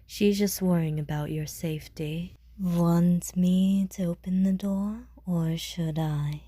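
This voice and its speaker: A woman playing a calm, world-weary woman who speaks politely and measuredly, with dry teasing and care, in an audio roleplay. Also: on the low side, dry recording. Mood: gently teasing. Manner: measured, polite, softly jaded.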